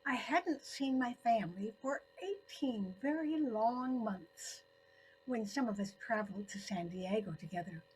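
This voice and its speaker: An elderly woman narrating her own scripted personal story in deliberate phrases with frequent pauses. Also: fairly high pitch, lively intonation, darkish tone, faint music behind, low-quality recording. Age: 70s or older.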